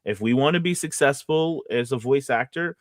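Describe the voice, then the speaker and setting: A man's voice: mid-range voice, normal speed, no room sound. Rambling man coaching, close dry sound. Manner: rambling, thinks out loud, checks in with "right?". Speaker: host and voice acting coach. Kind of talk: casual live teaching chat.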